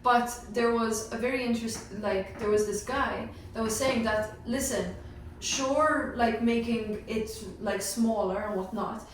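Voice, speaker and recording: A woman's voice: fairly high pitch, measured pace. A woman talking casually and colloquially as part of a group discussion. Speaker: sports media team member in panel discussion. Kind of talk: casual chat. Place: echoey room. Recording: very roomy, distant mic, faint noise.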